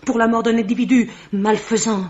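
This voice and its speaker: A woman's voice: a little high, steady tone, a little fast, darkish tone, lightly acted. An actress playing a distraught, bitter woman on the defensive in a vintage French radio mystery, speaking emphatically. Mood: indignant. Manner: melodramatic, emphatic. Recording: dull vintage radio sound.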